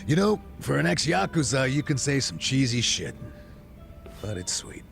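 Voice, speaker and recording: An American man acting a gruff, regretful, middle-aged ex-cop in a video game cutscene, blunt and streetwise with a gravelly voice. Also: mid-range voice, big pitch swings, moderate pace, a bit bright, soft background music. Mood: wry, loosened up.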